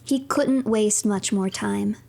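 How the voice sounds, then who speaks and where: A woman streamer narrating a video game playthrough as a third-person story, in a measured storyteller manner. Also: mid-range voice, gravelly, warm tone.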